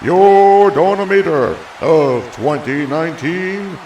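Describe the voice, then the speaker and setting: A man's voice: lively intonation, a little mellow. A man playing a grand ring announcer, drawing out each word as a proclamation in a cartoon. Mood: triumphant. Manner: drawn-out, booming proclamation. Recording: echoey, distant, dull, noisy arena-style sound.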